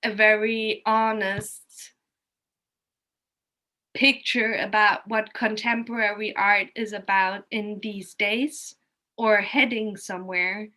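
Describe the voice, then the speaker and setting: Bright-voiced woman with a German accent. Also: medium pitch, level tone, slow. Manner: emphatic, opinionated, informal with swearing. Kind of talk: casual, animated conversation.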